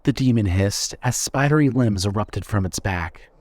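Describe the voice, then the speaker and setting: A man narrating dark fiction from a script in a dramatic storytelling style. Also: lowish voice, animated, normal speed, no room sound.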